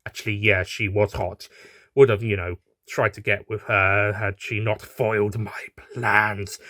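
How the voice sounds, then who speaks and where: A man voicing a large, vengeful horse villain in an audio story, with a booming, pompous, snarling delivery. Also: little pitch movement, a little slow, a bit bright, no echo, studio quality. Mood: flustered. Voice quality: deep, booming.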